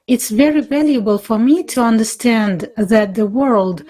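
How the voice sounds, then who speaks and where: A woman with a fairly high voice works as a live interpreter at a forum. She delivers personal remarks in short, halting phrases, piece by piece. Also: expressive, mellow. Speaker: interpreter voicing forum speakers' words in English.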